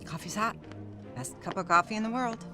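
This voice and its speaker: A woman playing a feisty, teasing, hard-drinking 1930s wife in an audio drama, sassy and quick to needle. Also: medium pitch, over music, natural voice. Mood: breezy.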